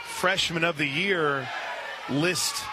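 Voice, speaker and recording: A man calling live softball play-by-play as a radio announcer. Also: a little high, takes it slowly, a crowd in the background. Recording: live outdoor ballpark broadcast, clear ambient noise.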